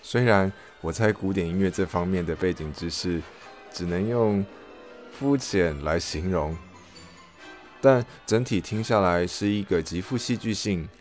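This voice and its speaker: A man hosting an audio log, reading a scripted first-person review as narration. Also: low voice. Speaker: podcast host, reviewer.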